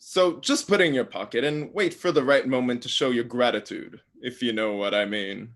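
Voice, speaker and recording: A sly, scheming brother god, acted by a man, talks in a medium-pitched voice. The manner is casual and suggestive, as in a staged play reading. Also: moderate pace, fairly bright tone, lightly acted. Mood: coaxing.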